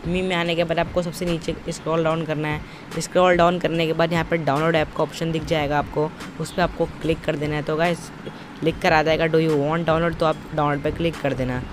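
A man's voice: a little high, little pitch movement, a little fast. A young man giving an informal, step-by-step tutorial voice-over with repetitive, instructional phrasing. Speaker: tutorial presenter. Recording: noisy, outdoor traffic-like background.

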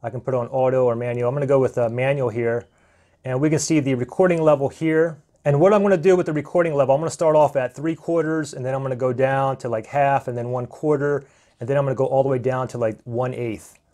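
Relaxed man walking through a gear test. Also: mid-range voice, quick pace. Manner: relaxed, step-by-step with fillers. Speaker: gear reviewer. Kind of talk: casual how-to walkthrough. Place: indoor room, desk demo. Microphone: camera shotgun mic.

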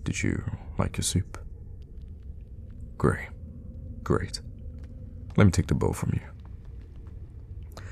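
A man acts out a menacing vampire lord in an intimate ASMR audio roleplay. His voice is extremely low and thick with gravelly fry. He is suave, theatrical and cruelly teasing, over clear background ambience. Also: big pitch swings, darkish tone, a little hiss or hum, full character voice. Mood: teasing menace. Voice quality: very deep, gravelly. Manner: unhurried, theatrical, with drops into whispers.

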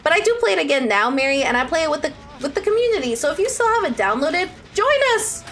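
High, bright, animated young woman streamer. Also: quick pace, slight background noise. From Ontario, Canada. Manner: animated and playful, with dramatic outbursts and gushing endearments. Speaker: live streamer. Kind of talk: chatty game livestream commentary, reading out viewer chat. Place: indoor room at a streaming setup.

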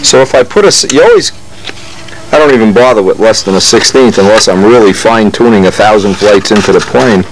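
A man's voice: lowish voice, a little fast, a little hiss or hum, low-quality recording. An older man, a model airplane builder, talks casually while working at his hobby workshop bench, often breaking off mid-sentence and correcting himself.

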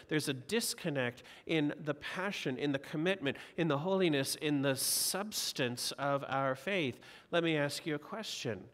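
Earnest pastor preaching in a reverberant church. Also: male voice, on the high side, a bit bright. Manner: earnest, exhorting, uses repeated rhetorical questions. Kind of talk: sermon. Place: large church sanctuary. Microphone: pulpit mic. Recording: long reverb of a church hall.

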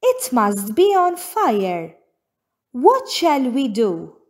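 A woman voicing an excitable, alert little farm girl in a storybook, exclaiming with urgency. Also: on the high side, extremely slow, voice pushed up, full character voice. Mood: alarmed.

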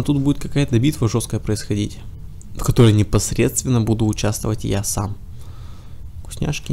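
A man with a cold talks his way through a game session, chatty and casual, mumbling to himself and jeering at the enemies he shoots. His voice is gravelly and dark, with a faint hum of room sound behind it. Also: mid-range voice. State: has a cold. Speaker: let's play gamer. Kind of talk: casual gameplay commentary.

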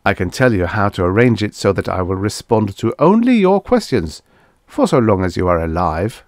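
A man voicing an ancient alien machine oracle, impartial and formal, with a measured, pedantic manner in a science fiction audiobook. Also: on the low side, big pitch swings, no room sound, clean studio sound. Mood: calm, precise.

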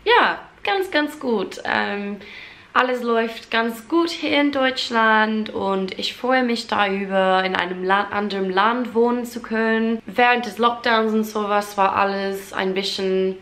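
Slow-talking young Englishwoman speaking learner's German. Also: mid-range voice, fairly bright tone. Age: young adult. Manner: chatty, self-deprecating, many fillers and restarts. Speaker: vlogger. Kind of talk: casual Q&A chat in German as a learner. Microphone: camera at close range.

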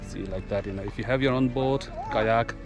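A man's voice: on the low side, normal speed, over music. A man in his forties vlogging outdoors by a lake, talking into a camera held at arm's length in a chatty, loose way. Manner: chatty, loose informal phrasing. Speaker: travel vlogger. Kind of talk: casual vlog narration.

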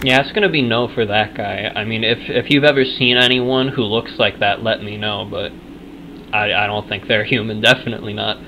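A man's voice: lowish voice, steady tone, a little fast, background noise. A man hosting a gaming video with casual, jokey commentary, often repeating his phrases in mock nervousness.

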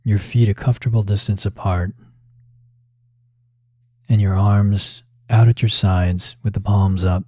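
A man with a very soft, dark, relaxed voice on the low side guides a meditation. He speaks calmly and deliberately, leaving space between short instructional phrases. The recording is close and dry. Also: no room sound. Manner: calm, measured, with long pauses between short phrases. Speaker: yoga teacher leading guided meditation.

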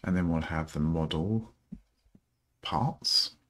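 A middle-aged man with a rough morning voice, thinking aloud slowly and haltingly with many ums while he codes at his desk. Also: extremely low pitch, a bit bright. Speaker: programmer streaming a coding session.

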